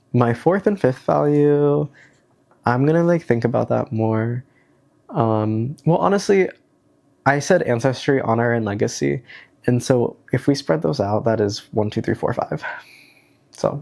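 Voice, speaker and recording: A man's voice: mid-range voice, vocal fry, darkish tone. A young man with a cold and a stuffy, nasal voice, rambling through a self-help worksheet in a casual vlog. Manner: rambling, hesitant, many fillers. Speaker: vlogger. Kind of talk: casual chat, reading worksheet aloud.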